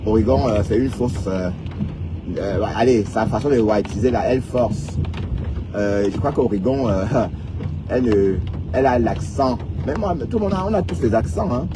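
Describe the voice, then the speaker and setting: A man's voice: medium pitch, animated, normal speed. Teasing Cameroonian man on a noisy live stream. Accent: Cameroonian French. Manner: teasing, mocking, peppered with "hein". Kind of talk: casual live-stream rant.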